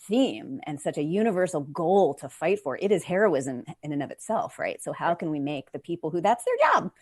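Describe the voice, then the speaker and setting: A woman's voice: sits low, expressive, fairly quick, a little mellow. A woman speaking emphatically as a panelist in an informal video discussion, slipping into short acted-out quotes. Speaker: panelist, playwright. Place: indoors, online video call.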